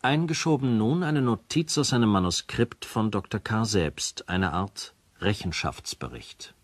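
Tiefer, förmlicher Hörspielsprecher. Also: male voice, lowish voice, expressive, measured pace, dry recording. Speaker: announcer linking documents. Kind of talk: scripted radio play narration.

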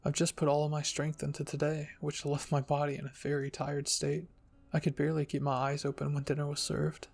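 A man narrating a first-person story in a scripted ASMR reading style, with a husky, gravelly voice. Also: fairly high pitch, monotone, a little fast, darkish tone. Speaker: fan fiction reader and narrator.

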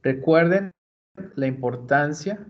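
Slow, patient man teaching a screencast. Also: on the high side. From Mexico. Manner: slow, patient, step-by-step explaining. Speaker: library computer instructor. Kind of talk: screen-recorded tutorial. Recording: dull lo-fi screencast audio.

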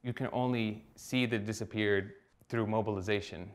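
A man's voice: lowish voice, steady tone, moderate pace. A man in his thirties from Costa Rica, an artist talking reflectively in a documentary interview, with many fillers and restarts.

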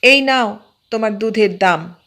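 A woman reading an audio story and voicing a sharp-witted, bossy young woman who speaks briskly and scolds. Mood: firm. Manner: brisk, scolding, raised pitch. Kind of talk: audiobook character voice.

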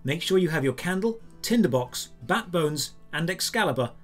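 Male guide narrator, slightly high register, scripted instructional delivery over background music. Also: animated, moderate pace. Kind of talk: scripted step-by-step tutorial narration.

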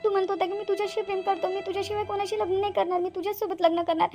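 A woman's voice: very high-pitched, flat delivery, racing, darkish tone, faint music behind. A woman voicing a heartbroken young village woman, who talks tearfully and repeats her complaints. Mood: hurt.

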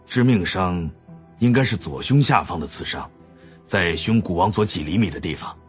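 Radio drama forensic professor: deep register, clinical, sure of himself, reporting findings plainly. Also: male voice, lively intonation, normal speed, faint music behind. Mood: clinical, certain. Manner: clinical, matter-of-fact. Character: veteran male forensic professor, short, brisk and certain.

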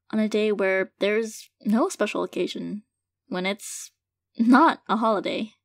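Woman performing a loving girlfriend, audio roleplay, sincere, hesitant, slow delivery, close and dry sound. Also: mid-range voice, no echo, natural voice. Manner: soft-hearted, hesitant, halting, gently sincere. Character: affectionate girlfriend tenderly reassuring her partner. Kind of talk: intimate audio roleplay, casual monologue to listener.

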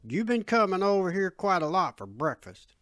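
A woman voicing a gruff, folksy, middle-aged country father with slangy, homespun phrasing in an audio story. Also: low-pitched, lively intonation, measured pace, no room sound, studio quality, voice pushed down. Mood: irritated.

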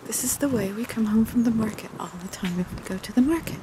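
A middle-aged woman chatting casually and gently while walking outdoors, as in an ASMR roleplay. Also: on the high side. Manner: gentle, unhurried, meandering. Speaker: ASMR video creator. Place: outdoors on paths through woods and streets. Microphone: handheld camera at arm's length. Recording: outdoor ambient noise, passing traffic.

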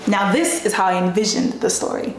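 A young adult woman hosting a Bible storytime, reading and telling stories to camera in a playful, expressive, colloquial way. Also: medium pitch, a little fast, background noise. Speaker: host of a Bible storytime show. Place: small bright indoor room at a desk. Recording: very echoey room, music behind.

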